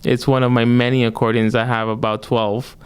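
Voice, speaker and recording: A young adult man, an accordion player, tells stories in a relaxed, rambling way, full of "um" and "you know." His voice is smooth and resonant, and his pitch sits in the middle range. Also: fairly quick. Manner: relaxed, rambling, with many fillers and restarts. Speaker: musician guest, accordionist. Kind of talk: casual storytelling interview.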